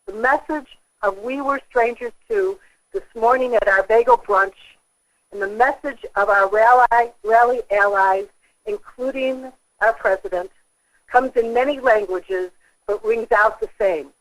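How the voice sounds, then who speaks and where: An American woman giving a prepared speech as an organization leader over a telephone conference line, with a halting, hesitant delivery. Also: a little high, poor audio.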